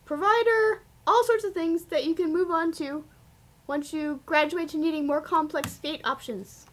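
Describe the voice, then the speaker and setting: A woman's voice: very high-pitched, normal speed. A woman voicing a chirpy bird mascot who supervises coding in a conversational, opinionated way. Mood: explanatory.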